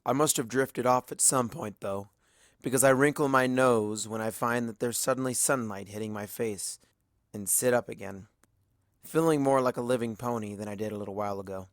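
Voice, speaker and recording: A man narrating a fanfiction story in the first person, in a measured, storytelling way. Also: mid-range voice, no echo, studio quality. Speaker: audiobook reader.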